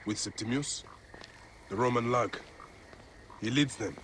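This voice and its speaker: An actor playing a brooding Egyptian warrior and vengeful grieving father in a game, speaking gruffly and with measured gravity. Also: mid-range voice, fairly bright tone, a little hiss or hum, natural voice. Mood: grim, questioning. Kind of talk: video game cutscene acting.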